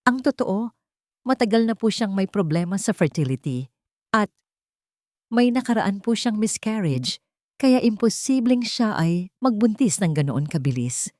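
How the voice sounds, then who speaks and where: A woman's voice: medium pitch, sing-song, a little slow, darkish tone, no room sound, studio quality, voice pushed up. A woman voicing a nervous, respectful female doctor who sounds apologetic, in an audio drama. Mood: uneasy confessing.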